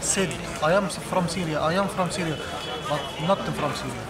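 A man speaking slow, broken English outdoors at a crowded border camp. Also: a little high. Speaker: interviewee. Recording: loud crowd and street noise, distant mic.

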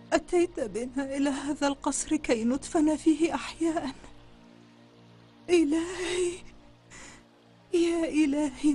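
An actress playing a frightened, bitter, outspoken woman in a dubbed historical drama, with a wailing, accusing delivery. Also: extremely high pitch. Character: panicked, bitter, outspoken cowardly woman in besieged palace.

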